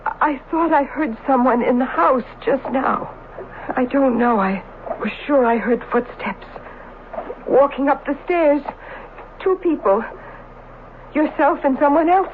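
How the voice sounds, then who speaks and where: A woman acting a worried, weary doctor's wife in an old-fashioned radio drama, anxious, halting and pleading. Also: high voice. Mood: uneasy. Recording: tinny, band-limited, noisy radio broadcast recording.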